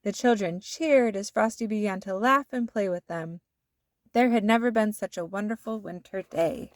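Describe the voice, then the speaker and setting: A woman reads a children's story aloud with expressive, deliberate delivery. Her pitch is middling, and the recording is close and free of room echo. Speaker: storybook narrator.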